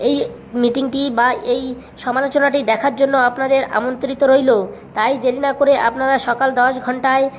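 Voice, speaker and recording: Woman as high, rapid-talking cheeky village lad. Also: a little hiss or hum, close to an everyday voice. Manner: mock-formal, urging. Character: cheeky, meddling young village lad making announcements. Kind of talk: comic cartoon village announcement.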